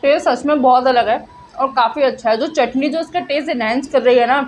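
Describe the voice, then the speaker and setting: High-voiced chatty woman food vlogger. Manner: chatty, mixes Hindi and English, slow drawn-out tasting remarks. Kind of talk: casual food review vlog. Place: small cafe indoors. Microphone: handheld phone camera at arm's length.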